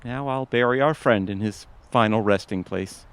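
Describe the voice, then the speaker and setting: A man's voice: lowish voice, normal speed, clear, resonant tone, natural voice. A man playing a melodramatic, sentimental middle-aged family man in a comedic audio drama, with a theatrical, declamatory delivery. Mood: mock solemn.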